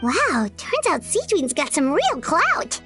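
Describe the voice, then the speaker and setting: Tiny high-pitched chirpy companion. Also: female voice, slow pace, music in the background, heavily acted. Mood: upbeat. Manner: chirpy, expressive, exclaiming. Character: small, chirpy, childlike female companion, blunt and excitable. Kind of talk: video game voice acting.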